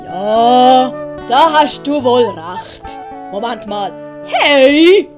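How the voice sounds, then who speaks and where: A woman playing an excitable, naive male servant clown in an audio play, full of exclamations. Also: high voice, extremely slow, faint music behind, voice pushed up, heavily acted. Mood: naive, concerned. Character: excitable, simple-hearted male servant clown, food-loving. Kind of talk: commedia dell'arte audio play acting.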